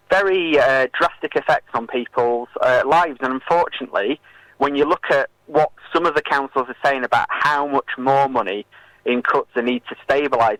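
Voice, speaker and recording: A British man speaking as a campaigner in a radio interview, explaining and arguing in a halting way with many filled pauses. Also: mid-range voice, fairly bright tone, dry recording, poor audio. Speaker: political campaigner and interview guest.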